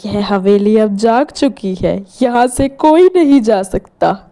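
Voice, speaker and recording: A woman voicing a sinister living doll in a horror story, menacing and possessive, with a slow, eerie manner. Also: medium pitch, lively intonation, warm tone.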